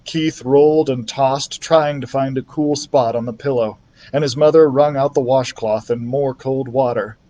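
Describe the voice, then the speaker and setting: A man's voice: medium pitch, steady tone, moderate pace, warm tone. A man reading a children's novel aloud as a storytime narrator. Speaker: library storytime reader. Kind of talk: scripted children's book narration.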